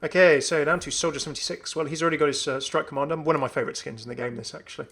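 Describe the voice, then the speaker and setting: Creaky-voiced chatty gaming host, man. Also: a little high, fairly even intonation, very fast, a bit bright, a little hiss or hum. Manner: chatty, enthusiastic. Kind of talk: casual gameplay showcase commentary.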